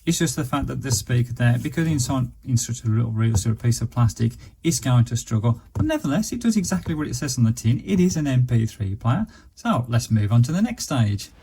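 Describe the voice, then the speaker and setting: An English man talks through a casual hands-on review in a relaxed, mellow voice with a gravelly creak. He is chatty, explaining each step as he does it. A faint noise sits behind him from the camera microphone. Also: medium pitch, fairly quick, few pauses. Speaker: reviewer of budget radios.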